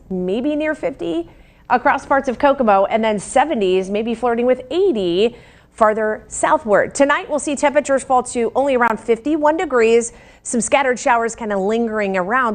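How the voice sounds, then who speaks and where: A woman with an American accent presenting a live TV weather forecast and news in a broadcast style. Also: on the high side.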